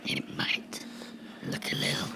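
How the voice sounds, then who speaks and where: A man playing a vengeful cartoon gnome come to life, menacing and mocking in a breathy, gravelly whisper for a horror ASMR role-play. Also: lightly acted. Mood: mocking menace. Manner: slow, deliberate, sinisterly playful, taunting.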